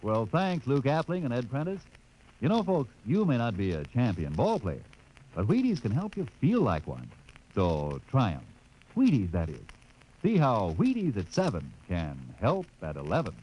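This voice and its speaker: A man's voice: medium pitch, wildly swooping pitch, normal speed, darkish tone. A man announcing a 1950s radio advertisement in chatty, polished sales patter. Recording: noisy old radio recording.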